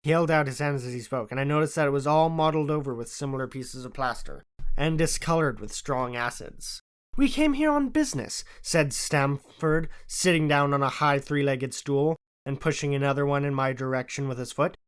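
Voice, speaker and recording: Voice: mid-range voice, steady tone, moderate pace, clear, resonant tone. Storybook narrator reading a classic novel. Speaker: audiobook narrator.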